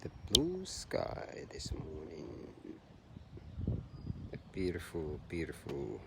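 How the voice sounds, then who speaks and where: A deep-voiced man muses unhurriedly into his own camera while out on a park trail, pausing often between thoughts. The outdoor recording carries a clear layer of background noise. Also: wildly swooping pitch. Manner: soft-spoken, musing, halting with pauses. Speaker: vlogger and long-distance runner. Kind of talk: casual reflective vlog while out jogging. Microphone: handheld camera, self-filmed.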